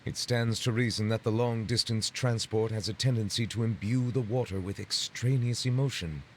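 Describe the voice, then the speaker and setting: An actor playing a courteous, solemn dignitary in a video game, speaking in formal, measured, ornate phrases. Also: male voice, on the low side, steady tone, a little hiss or hum, close to an everyday voice. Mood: courteous, earnest. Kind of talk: video game dialogue acting.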